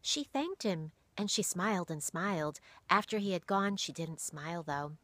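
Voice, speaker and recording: A woman putting on a wide-eyed young girl who keeps her voice low and breathy, as if telling secrets at night. It has a bright edge and sits close in a dry storybook reading. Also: expressive, moderate pace, no echo. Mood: worried. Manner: whispering.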